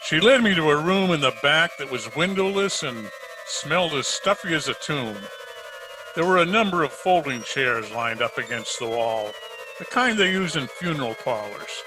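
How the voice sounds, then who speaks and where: A man's voice: fairly high pitch, expressive, moderate pace, music in the background, natural voice, low-quality recording. A man playing a dry, wisecracking private detective in an old-time radio drama, narrating his case in hardboiled style. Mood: grave, suspenseful. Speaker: amateur radio drama player.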